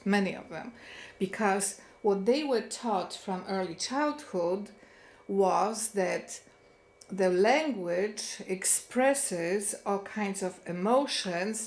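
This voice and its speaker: A woman hosting, giving a reflective monologue slowly and thoughtfully, pausing often to search for words. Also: medium pitch, a little mellow, roomy sound, a little hiss or hum. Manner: slow and thoughtful, with frequent pauses and fillers. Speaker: host.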